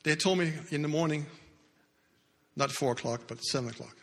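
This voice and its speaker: A man's voice: fairly high pitch, creaky, a bit bright. Earnest older man in an echoey hall. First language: not English. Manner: earnest storytelling, halting restarts. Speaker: church speaker giving testimony. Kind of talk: personal testimony talk. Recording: echoey hall with long reverb.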